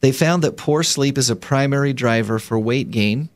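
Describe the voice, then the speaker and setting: Guy hosting a studio radio talk show, mid-pitched voice, lots of ums and laughs. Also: normal speed. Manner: chatty, laughs often, many fillers and restarts. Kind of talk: casual studio chat. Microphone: studio microphone.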